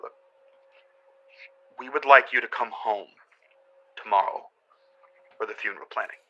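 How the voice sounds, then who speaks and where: An actor in a radio drama playing a caring older brother who halts and stutters on the phone. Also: male voice, lowish voice, pushed and bright, highs cut off. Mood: somber. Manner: halting, stuttering.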